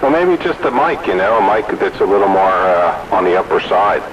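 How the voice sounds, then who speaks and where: Man, lowish pitch, CB radio operator, rambling, jokey, casual chat, noisy transmission. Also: sing-song, fairly quick, highs cut off. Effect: two-way radio transmission. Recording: noisy crackly CB radio audio.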